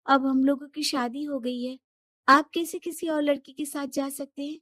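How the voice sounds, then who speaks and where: Defiant, earnest young daughter for cartoon drama, high and emphatic, played by a woman. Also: steady tone, moderate pace, fairly bright tone, no echo, studio quality. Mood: hurt, indignant.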